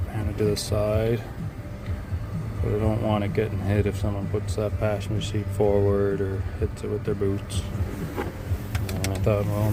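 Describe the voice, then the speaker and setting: A man's voice: fairly low pitch, background noise. A man vlogging a DIY project in casual, unscripted talk, hesitant and thinking aloud as he goes.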